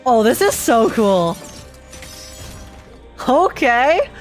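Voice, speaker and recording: High-voiced young woman reacting to a game. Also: very slow. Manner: excitable, giggly, thinking aloud. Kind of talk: casual game reaction commentary. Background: faint game music.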